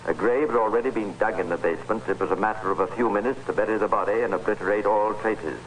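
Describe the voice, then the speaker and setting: An actor playing the grave, measured narrator of a crime tale in an old-time radio drama. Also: male voice, on the low side, natural voice, rough recording. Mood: grave, ominous. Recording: hissy vintage radio recording.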